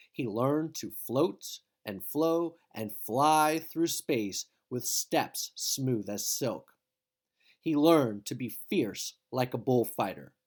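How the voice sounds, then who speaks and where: A man reading a children's picture book aloud in an expressive, dramatic storytelling style. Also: a little high. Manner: dramatic, with emphatic exclamations.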